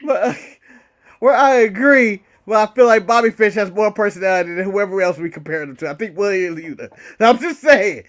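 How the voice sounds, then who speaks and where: High-pitched American man bantering. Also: brisk. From United States. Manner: animated, repeats phrases for emphasis. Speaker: wrestling podcast co-host. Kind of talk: casual banter and wrestling commentary.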